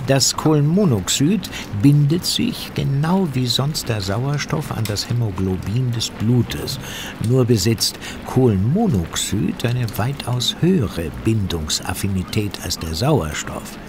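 A radio-play fire investigator, explains in a precise, pedantic manner. His mid-pitched voice moves with animated intonation, over clearly audible background noise. He sounds sure of his expertise. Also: takes it slowly. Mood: matter-of-fact, instructive. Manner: precise, lecturing, technical. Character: male fire investigator, factual, pedantic, self-assured expert. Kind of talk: German audio drama acting.

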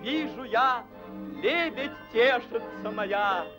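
An actor playing an earnest, wistful young prince, declaiming verse in a measured way in an old animated film. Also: male voice, extremely high pitch, heavily acted. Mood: wistful, curious. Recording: old 1940s film soundtrack, faint music.

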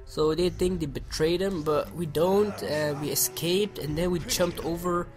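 A man with a cold and a slightly hoarse voice, casually commenting on a game and stumbling over his words. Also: a little high, steady tone, music in the background. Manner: halting, repeats words when unsure. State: has a cold. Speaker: gameplay commentator. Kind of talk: casual let's play commentary.

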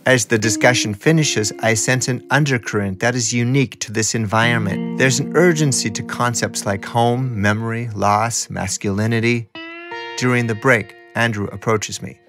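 A man's voice: mid-range voice, normal speed, music in the background. A man reading scripted first-person documentary narration.

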